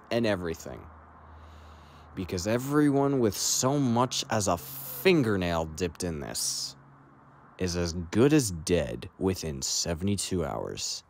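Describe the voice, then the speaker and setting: A man acting a cold, calculating crime syndicate operative with a clipped, measured delivery in an audio drama. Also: lowish voice, darkish tone, echoey room, big-room sound, a little hiss or hum.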